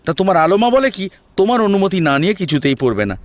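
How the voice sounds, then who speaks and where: A man's voice: sits high, big pitch swings, fast talker, dry recording, voice pushed up. A man playing an eager, teasing, hot-headed young grandson in an audio story, insistent and emotional. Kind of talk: Bengali audio story acting.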